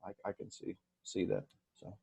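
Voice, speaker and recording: A man in his forties, born in Sweden, speaking as an interview guest in a casual, halting way with many fillers and restarts. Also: deep voice, monotone, gravelly, warm tone, breathy edge. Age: 40s.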